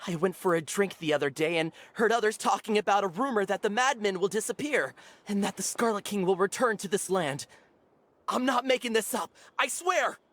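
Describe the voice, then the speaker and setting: An actor voicing a fervent, superstitious, easily cowed desert villager in a video game. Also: male voice, high-pitched, a little fast, fairly bright tone, slight background noise. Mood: frightened, pleading.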